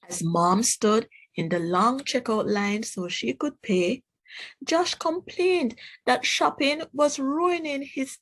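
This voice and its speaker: Female storybook reader, higher-pitched, unhurried and expressive, teacher addressing young children. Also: low-quality recording. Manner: deliberate, expressive, addresses child listeners directly. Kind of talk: children's storybook read-aloud.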